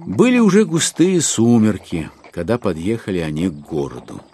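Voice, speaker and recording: A man's voice: fairly low pitch, big pitch swings, normal speed, mellow, a little hiss or hum. A man acting as the wry narrator of a classic novel in a dramatised audiobook. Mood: wry, storytelling.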